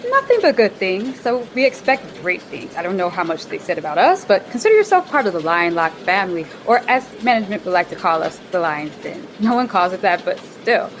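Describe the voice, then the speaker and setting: An actress playing a bubbly, bossy office manager in a radio play, talking in a chirpy sing-song way. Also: female voice, medium pitch, sing-song, brisk, few pauses, a little hiss or hum, lightly acted. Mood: upbeat.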